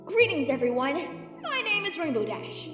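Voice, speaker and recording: A woman voicing an emotional, brave young female pony hero in a fan audio drama. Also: moderate pace. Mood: earnest. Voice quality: high-pitched, youthful. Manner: excitable, earnest, openly emotional. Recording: roomy reverb under loud music.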